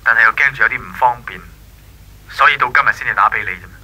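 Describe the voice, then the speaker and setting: A reserved, wistful young man in a 1980s radio drama. His mid-pitched voice is very sharp and rather husky through a telephone filter, with a Hong Kong accent. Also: fast, rough recording. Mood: hesitant, earnest. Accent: Hong Kong Cantonese. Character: earnest, wistful young male family friend, courteous and reserved.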